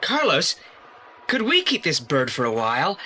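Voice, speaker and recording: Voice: medium pitch, wildly swooping pitch, normal speed, pushed and bright, slight background noise. An actor playing an articulate, decisive teenage boy detective in an audio play, speaking politely and with confidence. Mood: confident, inquisitive. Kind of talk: 1980s audio play acting.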